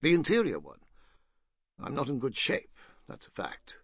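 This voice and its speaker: A man's voice: mid-range voice, huge pitch swings, normal speed, a bit bright, husky, no echo, clean studio sound, heavily acted. A man narrating a literary audiobook as a rueful, self-mocking, pompous ex-painter nearing fifty, fussy and digressive in manner. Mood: brooding, rueful.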